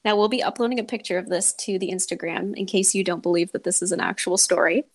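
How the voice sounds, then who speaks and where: A woman's voice: mid-range voice, fast. A woman podcast host, talking and reading a story aloud in a dramatic way.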